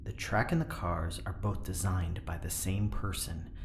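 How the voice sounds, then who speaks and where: A man voicing a weary, pessimistic male engineer who talks in a dry, sardonic way with low muttered asides. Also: steady tone, a little slow, reverberant, slight background noise. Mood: explaining. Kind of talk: audio drama acting.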